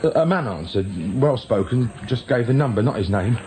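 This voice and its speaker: A man's voice: medium pitch, expressive, normal speed, a little mellow, natural voice, poor audio. A man acting a nervous, evasive small-time crook with a British accent in a radio drama, stammering and justifying himself. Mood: defensive. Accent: British English.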